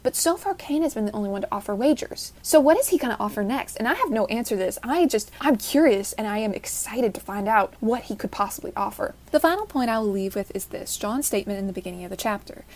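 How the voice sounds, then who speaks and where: A woman delivering prepared fan commentary in an earnest, articulate and emphatic way, as a listener's voice message. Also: a little high.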